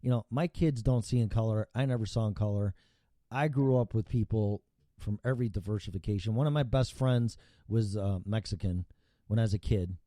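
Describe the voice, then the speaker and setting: A man's voice: on the low side, a little fast, warm tone, no room sound. A middle-aged or older man chats casually as a talk show host, blunt and profane, hammering points by repeating phrases. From Chicago area, United States. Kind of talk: casual opinionated chat.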